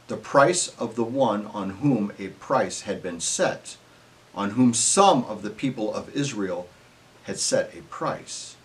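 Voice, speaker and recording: A man's voice: lowish voice, low-quality recording. A man giving a scripted dramatic scripture reading as narrator, in a solemn, emphatic storytelling manner.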